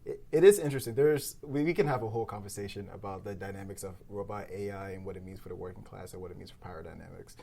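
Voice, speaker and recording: A man's voice: deep voice, quick pace, vocal fry, hardly any pauses, warm tone, a little hiss or hum. A man who co-produces a podcast, chatting casually at a round table in informal, slangy language with frequent chuckles.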